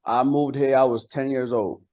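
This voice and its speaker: Male interview guest from Costa Rica, Spanish first language, mid-pitched voice, informal slangy chat. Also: fairly even intonation, moderate pace, mellow. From San Jose, Costa Rica. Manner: colloquial, peppered with "like" and "you know". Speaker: interview guest, bilingual rapper and songwriter.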